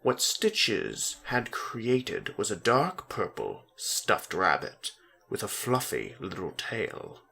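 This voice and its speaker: A man's voice: on the low side, big pitch swings, slow pace, vocal fry, bright, forceful tone, husky. A man narrating a story as a dramatic reading.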